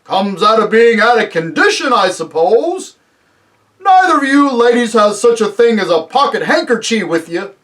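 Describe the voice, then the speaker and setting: A huge, simple giant booms slowly in rustic speech, humble and polite. The voice is pushed up well above the reader's own and swings widely, as befits a storybook character, and sounds a little away from the microphone. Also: very animated, fairly bright tone, distant mic. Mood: puffed, friendly. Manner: booming, slow, rustic speech. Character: huge good-natured dim male giant, humbly polite.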